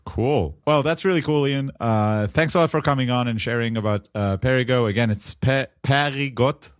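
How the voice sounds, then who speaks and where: A man with an Israeli accent hosting a casual tech podcast, chatty and relaxed. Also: medium pitch, normal speed, clean tone, dry recording, clean studio sound. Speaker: podcast host.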